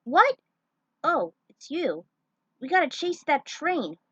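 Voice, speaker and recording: Bold young story heroine, performed by a female narrator: high, animated, urgent and determined, dry recording. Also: takes it slowly, dry recording, highs cut off, full character voice. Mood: excited, calling out.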